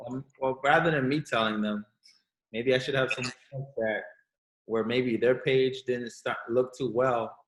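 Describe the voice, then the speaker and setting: A man's voice: mid-range voice, reverberant, rough recording. A man in a casual training session, speaking a bit hesitantly as he invites others to share. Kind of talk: casual group training talk.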